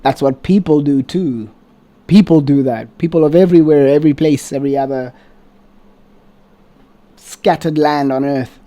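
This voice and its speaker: Sarcastic man reacting, slightly high voice. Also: moderate pace, pauses often, mellow. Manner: sarcastic, exasperated, swears, laughs often. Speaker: reaction video host. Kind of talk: casual reaction commentary.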